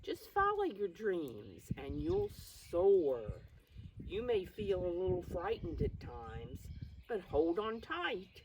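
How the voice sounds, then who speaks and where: An older woman reading aloud to young children, pausing to explain things in simple words. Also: medium pitch, wildly swooping pitch, creaky, darkish tone. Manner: addresses children simply, calls self by name in third person. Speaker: preschool storytime reader. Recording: distant mic, faint background noise.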